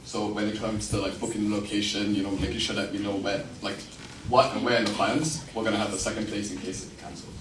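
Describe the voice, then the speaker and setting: A man's voice: fairly low pitch, little pitch movement, fast talker, distant mic. A young man in his twenties from Singapore, with a British-leaning Singaporean accent, giving a wry, self-mocking campaign speech and answering questions with many fillers. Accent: Singaporean-tinged British English. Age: 20s. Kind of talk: joking election speech, then answering questions. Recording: echoey room, from afar, noisy.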